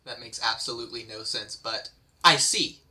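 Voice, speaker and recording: A woman's voice: very deep voice, normal speed, bright, forceful tone, heavily acted. A woman playing a skeptical, puzzled questioner in an amateur fantasy table read, with an incredulous manner. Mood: puzzled, earnest.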